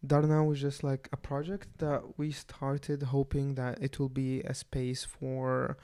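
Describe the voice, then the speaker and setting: A man's voice: medium pitch, monotone, a little slow, mellow, clear, resonant tone. A man in his twenties from Saudi Arabia, of Egyptian family, chatting casually as co-host of a radio show. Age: 20s. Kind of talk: casual, conversational talk show.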